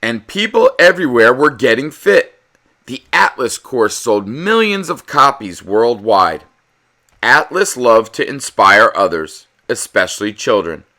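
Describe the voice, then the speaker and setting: Bright, animated male storybook reader. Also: medium pitch, a little slow. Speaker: read-aloud host reading a children's picture book. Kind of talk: expressive children's storybook narration, with casual chat to viewers.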